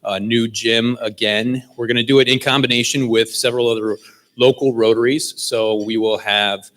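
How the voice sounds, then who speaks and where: A man's voice: medium pitch, fairly even intonation, moderate pace, fairly bright tone. A man casually announcing a volunteer food-packing event to a club meeting. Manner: casual, joking. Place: meeting hall.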